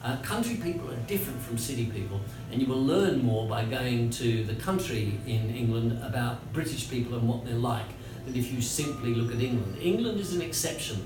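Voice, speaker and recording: A man with an Australian accent, a guest in an interview, talking in a measured way with many pauses and some dry humor, seated outdoors by a busy street. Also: mid-range voice, lively intonation, recorded from a distance, over music. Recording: echoey, from afar, street noise.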